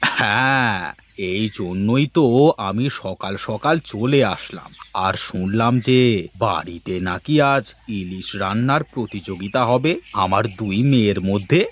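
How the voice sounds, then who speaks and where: A man's voice: on the low side, animated, slow pace. A man playing a jovial, affectionate older father in a cartoon family drama. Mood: fond. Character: jovial, affectionate older Bengali father visiting his married daughter.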